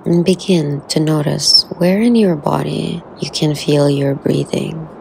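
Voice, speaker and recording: A woman leading a guided meditation in a soothing, unhurried way, with soft, breathy phrase endings and long pauses. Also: low voice, fairly even intonation, a little hiss or hum.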